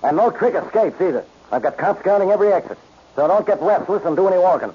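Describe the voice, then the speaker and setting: Irascible cop, vintage radio crime show: raspy, animated, blunt, always exasperated. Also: male voice, high voice, fast talker. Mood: stern. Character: gruff, irritable male police inspector. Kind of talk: 1940s radio detective acting. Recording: muffled old radio recording.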